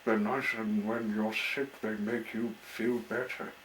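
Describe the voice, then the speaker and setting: A sweet-natured young boy in a children's storybook, played by a grown man. He sounds eager and caring in a simple, childlike way, with slow phrasing. The recording has audible background noise. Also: on the low side, level tone, a bit bright, rough recording. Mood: comforting.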